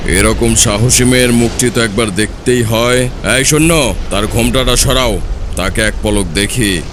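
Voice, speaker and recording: A man's voice: medium pitch, a little slow, natural voice. A commanding king, played by a man from a cartoon folk tale. He questions and orders imperiously in a mid-range voice. Noisy ambience runs behind him. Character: commanding, curious island king.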